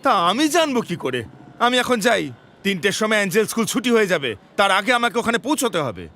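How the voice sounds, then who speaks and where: A man voicing a friendly, hurried ice cream vendor uncle in a cartoon. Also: high voice, very animated, bright, forceful tone, heavily acted. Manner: brisk, hurried. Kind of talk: animated cartoon dialogue.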